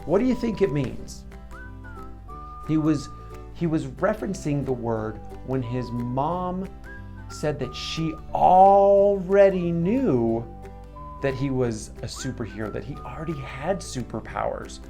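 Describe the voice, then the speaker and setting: Slow, animated man hosting children's storytime. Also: on the high side, faint music behind. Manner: encouraging, asks the listener questions. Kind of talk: casual reflective talk to young viewers.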